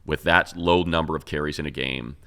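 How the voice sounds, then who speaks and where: A man's voice: a bass voice, fairly even intonation, fairly quick, creaky, bright, forceful tone, clear, resonant tone. A man co-hosting a sports talk show, giving casual, conversational football analysis and thinking aloud as he goes.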